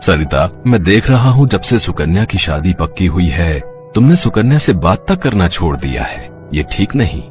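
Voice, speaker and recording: A man voicing a loving, worried father in a cartoon, speaking fondly and with pleading warmth. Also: low-pitched, big pitch swings, normal speed. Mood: reproachful. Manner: fond, pleading. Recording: music playing behind.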